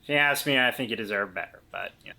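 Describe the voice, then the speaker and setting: A woman's voice: a bass voice, fast, vocal fry, sharp tone. A woman in a tabletop game, speaking in casual improvised role-play. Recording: faint background noise and ambience.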